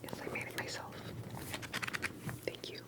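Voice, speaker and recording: Whispering, secretive woman in ASMR roleplay. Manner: hushed, secretive, hesitant with soft pauses. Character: secretive, cautious investigator interviewing an alien abductee.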